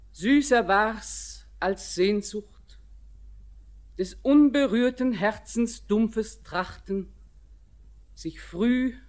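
Very slow, solemn old grandmother. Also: medium pitch. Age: older. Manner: declamatory, lingering, poetic delivery. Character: old grandmother, visionary, resigned, mournful. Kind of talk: solemn verse radio drama acting.

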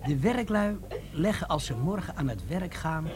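An actor playing a curious, eager schemer who is plotting a robbery in a Dutch radio play. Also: male voice, fairly high pitch, expressive, moderate pace, noisy background, close to an everyday voice. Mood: scheming, persuasive.

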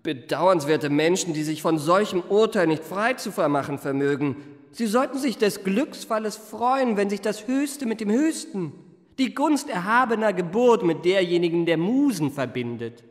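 An actor playing a young marquis in a radio play, suave and gushing, with florid, elaborately courteous speech. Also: male voice, high voice, expressive, moderate pace, big-room sound. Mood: gushing flattery. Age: young adult. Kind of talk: literary radio play, first-person narration and dialogue.

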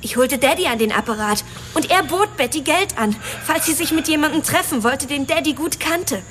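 An actress in a German radio drama playing a spoiled rich daughter who confesses emotionally and justifies herself. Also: female voice, high voice, lively intonation, normal speed, fairly bright tone, faint music behind. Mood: defensive, confessing.

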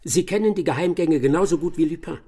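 An actor playing a self-assured, pompous English master detective in a radio drama, speaking formally and emphatically. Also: male voice, a little high, fast talker, dark tone, slightly rough. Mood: accusing, insistent.

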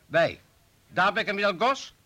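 Radio drama role: principled party comrade, fairly high male voice, firm, reproachful, indignant. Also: lively intonation, slow pace, pushed and bright, exaggerated voice. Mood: firm, challenging. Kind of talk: radio play acting.

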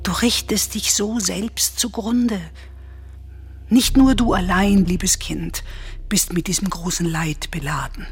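An actress playing a sorrowful, motherly counsellor in a Greek tragedy for radio, admonishing gravely and deliberately. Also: mid-range voice, exaggerated voice. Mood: gently admonishing. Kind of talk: classical tragedy radio drama acting.

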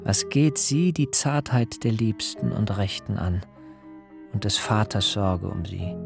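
Creaky slow earnest family patriarch, elevated radio drama speech, clear music under it. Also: male voice, lowish voice. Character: earnest patriarch husband and father, devout, brooding, burdened. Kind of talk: German literary radio drama acting. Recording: music behind the voice.

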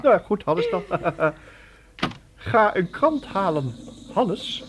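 A man playing an excitable, muddled inventor professor in a children's radio play, stammering, fussing and repeating himself. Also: high-pitched, takes it slowly, noisy background, full character voice. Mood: pleased.

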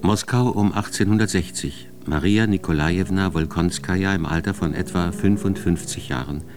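A man's voice: low voice, moderate pace, over music. A man reading scripted biographical narration in a radio drama, in a factual, matter-of-fact manner.

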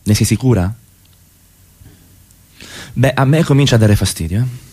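A man's voice: mid-range voice, normal speed, warm tone, husky, close to an everyday voice. A man acting in radio theatre as a brooding married lover, needling and accusatory, insistently repeating his questions and demands. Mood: cold, firm.

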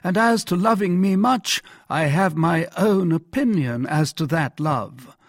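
A man's voice: sits high, expressive, unhurried, no room sound, clean studio sound, pitched above the performer's own voice, heavily acted. A man voicing an agitated, jealous king in an audiobook, imperious and suspicious, with heated, insistent delivery. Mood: bitter, indignant. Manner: heated, insistent, indignant outbursts. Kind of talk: dramatised classic novel reading.

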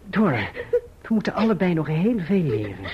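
An actor playing a patient, doting young husband in an old radio drama, speaking in a tender, coaxing, earnest way. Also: male voice, high-pitched, very animated, normal speed, slightly rough, full character voice. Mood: reproving, patient. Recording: dull, faintly noisy old radio recording.

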